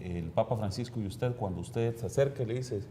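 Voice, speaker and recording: A man's voice: on the low side, fairly quick, dark tone, a little hiss or hum. A man with a Costa Rican accent hosting a TV studio interview, asking questions animatedly with hesitant fillers. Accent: Costa Rican Spanish. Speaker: TV host.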